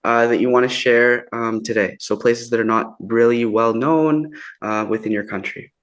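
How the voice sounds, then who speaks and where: A man hosts the interview in an ordinary mid-pitched voice. He asks his questions loosely, circling with fillers and restarts before landing on them. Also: fairly even intonation, normal speed.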